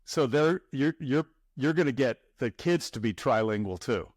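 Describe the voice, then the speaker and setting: A man's voice: medium pitch, expressive, normal speed, a little mellow, no room sound, studio quality. A man hosting a podcast interview, chatty, often repeating words as he starts a thought. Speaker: podcast host.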